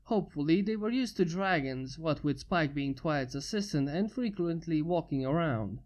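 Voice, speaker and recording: Steady fanfiction reader. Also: medium pitch, moderate pace. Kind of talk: fanfiction reading.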